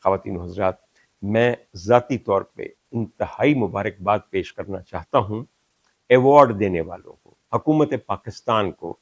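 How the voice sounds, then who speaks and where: An older man from Pakistan with a Pakistani Urdu accent, hosting a talk show and reflecting on a poet, sometimes reciting Punjabi verses slowly and with emphasis. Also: fairly low pitch, dry recording, highs cut off. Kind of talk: reflective monologue.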